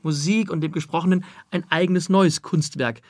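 A man with a somewhat high voice conducts an interview. He moves between conversational comments and prepared, informative remarks. The recording is dry and free of room sound. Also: fairly even intonation, moderate pace, a little mellow, no room sound. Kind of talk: prepared interview questions and film trivia in conversation.

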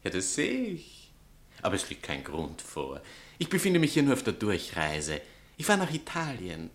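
An actor playing a vain, sardonic bohemian writer and spurned lover, pompous and mocking, in a satirical radio play. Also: male voice, fairly low pitch, wildly swooping pitch, normal speed. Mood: grandiose. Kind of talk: theatrical literary satire dialogue.

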